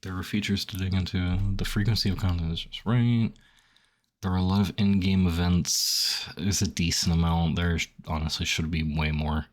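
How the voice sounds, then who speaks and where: Young male streamer, very deep, slightly phlegmy, loose filler-heavy chatter. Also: fairly even intonation, normal speed. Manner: rambling, heavy on "like", reads options aloud then reacts. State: phlegmy throat. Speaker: gaming streamer. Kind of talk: casual commentary while filling out a survey.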